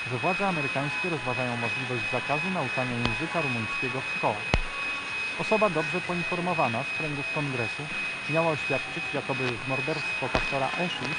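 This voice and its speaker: A man playing an earnest radio announcer, reading the news in a formal, measured, serious manner. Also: mid-range voice, lightly acted. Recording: very noisy, humming shortwave radio broadcast.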